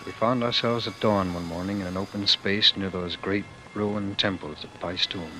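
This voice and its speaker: A man's voice: deep voice, steady tone, normal speed, bright, forceful tone, slight background noise, low-quality recording. An actor playing a haunted, intense man narrating his flight from war, brooding and theatrical, in an old radio drama. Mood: grave. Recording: vintage radio recording with music behind.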